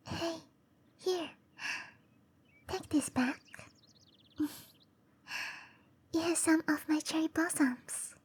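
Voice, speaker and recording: Woman playing a high, whispery, gentle dryad girl. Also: heavily acted. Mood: kind, insistent. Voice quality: soft, breathy, often whispering. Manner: slow, tender, hesitant delivery. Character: gentle, shy cherry blossom dryad woman. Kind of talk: whispery roleplay ASMR.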